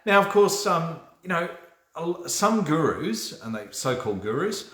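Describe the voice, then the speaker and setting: A man's voice: fairly high pitch, big pitch swings, moderate pace, pushed and bright. A man with an Australian accent hosting a casual motivational livestream, chatty and often checking in with "right?". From Australia, born in New Zealand. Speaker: host of a personal development livestream. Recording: roomy, echoey room sound.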